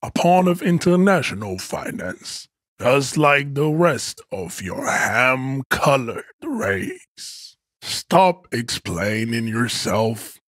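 A man's voice: medium pitch, very animated, slow, a bit bright, no echo, clean studio sound, exaggerated voice. An actor playing a pompous, stoic strongman in a video game, declaiming haughty, grandiose speeches. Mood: scornful. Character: pompous, stoic, hulking male race-theorist strongman. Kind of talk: video game character acting.

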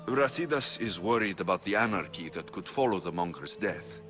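A man's voice: low-pitched, lively intonation, moderate pace. A man playing a tough, blunt ancient Greek mercenary warrior in a video game cutscene, defiant and matter-of-fact. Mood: cold resolve. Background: music.

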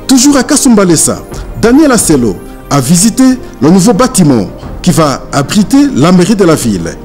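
High-voiced man narrating news, lively.

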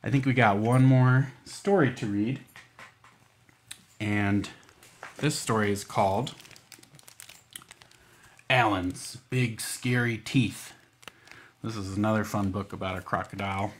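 A man's voice: fairly low pitch, takes it slowly, pauses often, a little hiss or hum. Playful man reading at children's storytime. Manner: playful, simple child-directed phrasing. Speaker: children's library storytime host. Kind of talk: picture-book reading with casual asides to children.

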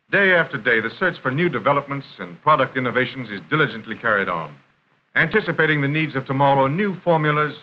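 An American man reading scripted narration for a 1930s industrial film in a formal, measured announcer style. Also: medium pitch. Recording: dull, noisy 1930s film soundtrack.

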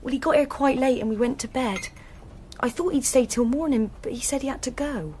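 A woman's voice: high voice, a little fast, a little mellow, slight background noise, natural voice. An actress playing a young woman hairdresser, plain-spoken and distraught after her boyfriend's murder, in a radio drama. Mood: grieving. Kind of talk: BBC radio drama acting.

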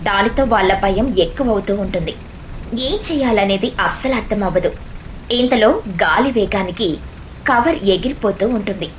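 A woman's voice: medium pitch, moderate pace, slight background noise, poor audio. A woman narrating a scripted children's moral story as a storyteller. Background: music.

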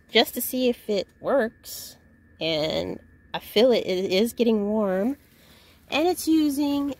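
Woman, 40s, van-life vlogger, slow and chatty, recorded in her vehicle with light noise. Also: mid-range voice, fairly bright tone. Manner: chatty, unhurried, thinking aloud with fillers. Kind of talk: casual vlog narration. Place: inside a parked van.

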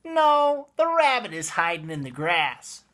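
A stubborn, contrary storybook cloud-watcher, played by a man with an American accent. The delivery is bright and emphatic, bickering playfully with big pitch swings. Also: big pitch swings, a little slow, exaggerated voice. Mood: insistent.

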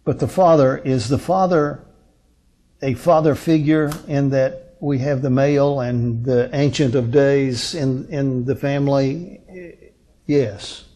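A man in his sixties preaching as a pastor, teaching from scripture in a deliberate, emphatic manner with rhetorical questions and pauses. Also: medium pitch, fairly even intonation, mellow. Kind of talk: sermon, expository Bible teaching from a lectern. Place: indoor lectern on a curtained stage.

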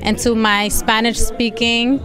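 A woman's voice: on the high side, little pitch movement, unhurried. A young woman from the Dominican Republic, a fitness trainer, speaking enthusiastically into a handheld mic at an event. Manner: upbeat, enthusiastic praise. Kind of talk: event interview testimonial. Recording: event crowd and music behind.

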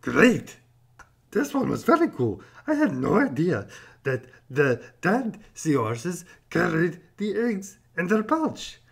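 A friendly furry monster host from a kids' cartoon. The voice is gravelly and raised, swinging very widely in pitch while encouraging young listeners. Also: male voice, sing-song, exaggerated voice. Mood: upbeat, praising. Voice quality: gravelly, husky. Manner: slow, encouraging.

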